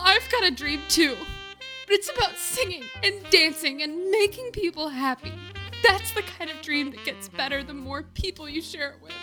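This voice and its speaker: A woman's voice: extremely high pitch, pitched above the performer's own voice. A woman playing a tearful girl pleading earnestly in a comic dramatic reading, her voice breaking.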